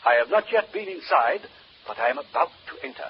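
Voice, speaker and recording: An actor playing a solemn, self-important psychic investigator, giving formal, precise reports in mid-century radio drama style. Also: male voice, high-pitched, very animated, brisk, vocal fry, full character voice. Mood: intent, formal. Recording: muffled old radio broadcast with hiss.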